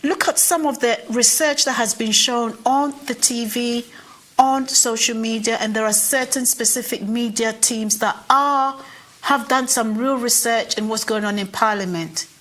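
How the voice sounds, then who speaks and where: A woman's voice: a little high, measured pace, fairly bright tone. A Ghanaian woman with a Ghanaian accent making an earnest, impassioned address to viewers, pleading and repeating phrases for emphasis. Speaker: commentator. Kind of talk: impassioned direct-to-camera address.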